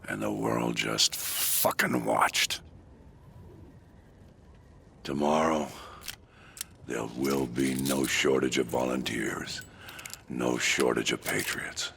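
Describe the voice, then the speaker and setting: A man acting a bitter, menacing older military commander in a video game, with a gravelly voice and measured, commanding delivery. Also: rumbling low, steady tone, fairly bright tone, slight background noise, exaggerated voice. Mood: bitter, resolute. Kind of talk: dramatic video game monologue.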